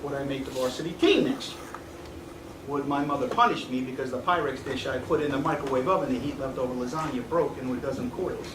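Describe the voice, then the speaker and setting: A man playing a weary, nostalgic man in his fifties, speaking deliberately and reflectively in a theatrical stage performance. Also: mid-range voice, close to an everyday voice. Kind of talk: theatrical stage monologue and dialogue. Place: small indoor theatre stage. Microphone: far camera. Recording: echoey theatre stage, distant noisy camera mic.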